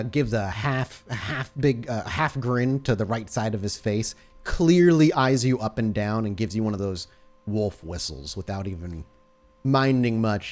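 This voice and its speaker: A man working as game master, narrating a tabletop roleplaying scene in a deliberate, descriptive and dramatic way. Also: lowish voice, darkish tone.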